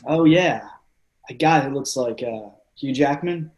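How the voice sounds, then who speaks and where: A man acting as an excitable, over-dramatic male best friend in a play reading, effusive and grandiose with slangy delivery. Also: medium pitch, unhurried, reverberant, a little hiss or hum, close to an everyday voice. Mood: casual, prodding. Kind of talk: theatrical readers-theatre stage acting.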